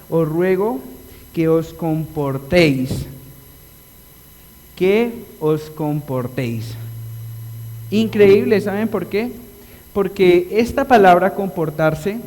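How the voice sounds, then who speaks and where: A man preaching a live sermon in a chapel, explaining a text and asking the congregation questions, drawing out key words slowly. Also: fairly high pitch, darkish tone. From Colombia. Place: church chapel. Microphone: livestream recording. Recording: long reverb of a church hall.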